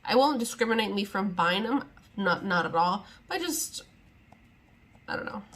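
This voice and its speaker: Young woman vlogger rambling on about her makeup at home, chatty and a bit fed up, mid-pitched voice. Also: lively intonation, moderate pace, a bit bright, slight background noise. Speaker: beauty vlogger. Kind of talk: casual sit-down chat to camera.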